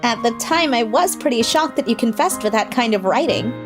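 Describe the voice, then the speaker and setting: A woman playing a youthful, expressive schoolgirl in scripted animated dialogue. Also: fairly high pitch, a little fast, natural voice. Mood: teasing. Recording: music behind the voice.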